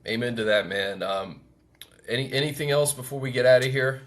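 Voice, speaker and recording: A man hosting a casual sports podcast, talking informally in halting, conversational phrases. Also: mid-range voice.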